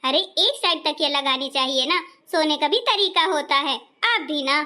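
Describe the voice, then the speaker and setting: A woman narrating a family cartoon story in a plain, even tone and also voicing the mother. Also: high voice, moderate pace. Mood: chiding. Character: older Indian mother, gentle and doting.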